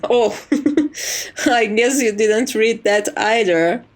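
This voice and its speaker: A woman's voice: fairly high pitch, slow pace. A woman playing a composed, courteous vampire's maid in an ASMR roleplay, formal and reassuring with a wry tease. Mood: teasing.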